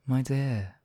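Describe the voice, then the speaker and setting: A man playing a demon king in an intimate ASMR roleplay, husky and theatrical, with deliberate phrasing and lingering pauses. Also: mid-range voice, warm tone. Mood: warm, reassuring. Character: demon king, menacing captor act turning tender, devoted lover.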